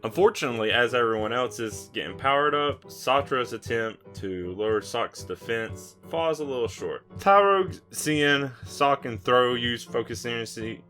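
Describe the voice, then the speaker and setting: A man narrates a tabletop roleplay game as its game master. His voice is bright and clear-cut at a middle pitch, over faint guitar music. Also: expressive, normal speed, few pauses.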